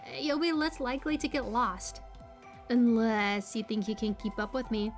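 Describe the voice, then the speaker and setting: A quirky young woman, played by a voice actress, chatty and high-pitched, in a casual audio roleplay over music. She rambles playfully with bubbly energy, like a friendly small-town local. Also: steady tone, normal speed, natural voice. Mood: playful, eager.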